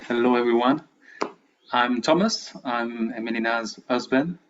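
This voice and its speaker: A man introducing himself earnestly and slowly as a ceremony participant. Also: medium pitch, dull audio, a little hiss or hum.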